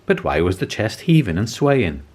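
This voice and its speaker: A man reading a children's story aloud as an expressive narrator. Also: fairly low pitch, normal speed. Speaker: storybook reader.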